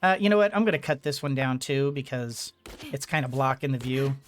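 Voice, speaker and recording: Guy doing a chill lets play, rambly, thinking out loud, medium pitch voice. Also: animated, a little fast, a little hiss or hum. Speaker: gaming video host. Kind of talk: casual let's play commentary.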